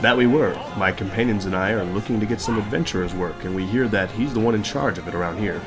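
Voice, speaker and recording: Man acting an adventuring leader, fantasy audio drama, confident, smooth-talking, lowish pitch, music behind. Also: fast, close to an everyday voice. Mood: easygoing. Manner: polite, persuasive, formal with strangers.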